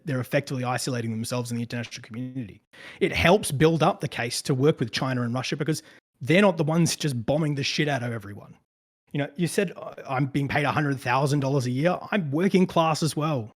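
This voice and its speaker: A man who works as a conflict journalist, rebutting his opponent in a debate in a dismissive tone, with frequent "you know" fillers. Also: on the high side.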